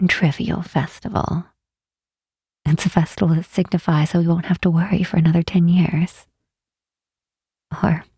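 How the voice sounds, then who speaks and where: Woman acting as a gentle demon queen, real breathy asmr whisper, low n mellow, slow and tender. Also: no room sound, clean studio sound, heavily acted. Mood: gentle, musing. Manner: unhurried, hushed, tenderly reassuring. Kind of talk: soft ASMR roleplay, intimate and slow.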